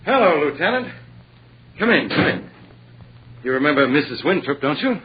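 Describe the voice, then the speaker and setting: An actor playing a scheming murderer in the theatrical manner of a 1940s radio drama. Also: male voice, fairly high pitch, big pitch swings, normal speed, slight background noise. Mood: smug, defiant. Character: scheming male murderer, smug then desperate, dying of poison. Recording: muffled vintage 1940s radio recording.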